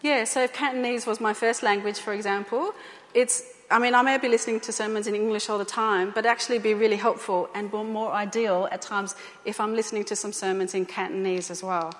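A woman's voice: medium pitch, steady tone, a little fast. A woman giving an informal talk to a church group, speaking conversationally with fillers and repeated phrases. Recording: echoey hall.

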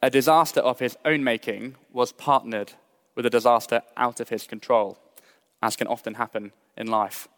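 A young adult man from Britain preaching a sermon in a measured, earnest way, mixing scripture with personal stories. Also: medium pitch, steady tone, a bit bright. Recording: long hall reverb.

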